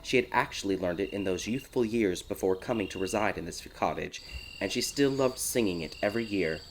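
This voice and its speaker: A man reading a scripted dark fairy tale as an audiobook narrator. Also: fairly low pitch, fairly quick, slight background noise. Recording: loud crickets and night ambience behind. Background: night insects, ambience.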